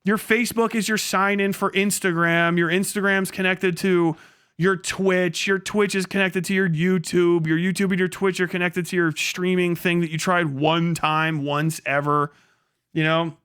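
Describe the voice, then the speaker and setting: A male streamer with a fairly high, clear voice talks casually to his viewers. He is blunt and sarcastic and swears freely. The sound is bright and forceful. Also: flat delivery, normal speed, no echo, studio quality. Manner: blunt, heavily profane, sarcastic. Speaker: live streamer. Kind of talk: casual livestream rant with chat.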